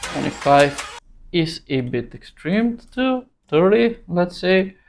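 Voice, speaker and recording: Slow, halting male tutorial instructor. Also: high-pitched, sing-song, gravelly, soft background music. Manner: halting, thinking aloud in short phrases. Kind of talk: unscripted screen-recorded tutorial narration.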